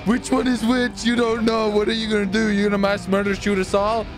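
A man bantering casually and excitedly in video game roleplay, with a cocky, taunting, repetitive manner. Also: high-pitched, brisk, over music. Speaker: streamer roleplaying as gang leader in a video game.

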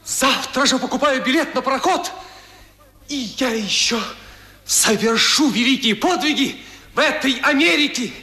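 A man playing an impulsive, penniless young gentleman in a theatrical, excitable radio drama style. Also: very high voice, moderate pace, exaggerated voice. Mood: astonished, elated. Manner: theatrical, excitable, repeating phrases. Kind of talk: Soviet radio drama acting. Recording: 1977 radio play recording.

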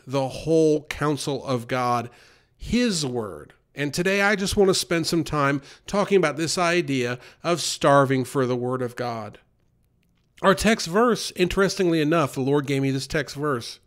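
A man preaching an informal sermon in an earnest way, with many fillers and restarts. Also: on the high side.

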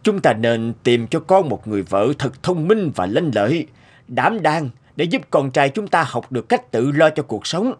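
A man's voice: fairly high pitch, big pitch swings, normal speed, breathy edge. An actor voicing a stern, exasperated middle-aged noble father in a cartoon, with an emphatic, commanding manner. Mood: grave, deliberate. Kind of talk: Vietnamese cartoon dubbing.

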